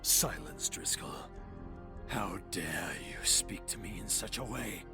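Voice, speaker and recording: A man playing an anguished, imperious young vampire nobleman in a theatrical, breathy audio drama style. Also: deep voice, animated, takes it slowly, over music, exaggerated voice. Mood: cold anger. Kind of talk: dramatic visual-novel style voice acting.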